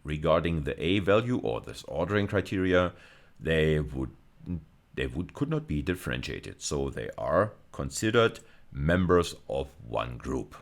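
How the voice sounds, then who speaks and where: A man's voice: rumbling low, sing-song, a little slow, creaky. A man giving an explanatory university lecture, methodical and repeating phrases for emphasis.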